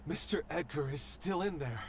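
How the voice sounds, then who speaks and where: A woman's voice: low-pitched, expressive, moderate pace, slight background noise. A woman voicing a shaken, stubbornly loyal young male character in an anime-style game. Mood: distressed.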